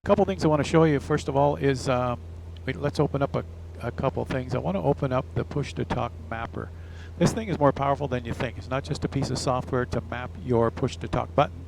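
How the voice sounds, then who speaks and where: Casual male demonstrator, chatty and explanatory, mid-pitched with noticeable fry, faint noise behind. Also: quick pace. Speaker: product demonstrator, pilot. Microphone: headset and lapel mic. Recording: faint background noise, headset and lapel mics.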